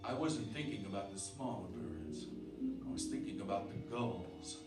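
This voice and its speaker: A man's voice: fairly low pitch, expressive, moderate pace, natural voice. A man acting a tense, grim, protective husband and father in a radio drama, also narrating in the first person. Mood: grim, practical. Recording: roomy, distant radio-play recording with loud music.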